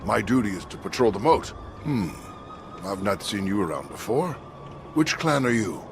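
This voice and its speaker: A formal samurai guard from a game cutscene. His lowish voice moves freely in pitch, with a wistful, musing streak. Background ambience is clearly audible. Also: animated, moderate pace, noisy background. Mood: formal, inquisitive. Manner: formal, musing, breathy murmurs rising to firm speech. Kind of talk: video game cutscene acting.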